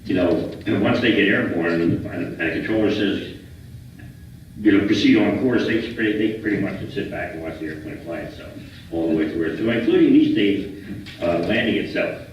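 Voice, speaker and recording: Male, 70s, American, informal guest lecturer with halting delivery; distant, muffled pickup. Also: low-pitched, animated. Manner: halting, repeats and restarts phrases. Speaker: guest speaker, retired air traffic controller. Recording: roomy, distant, dull, faintly noisy hall recording.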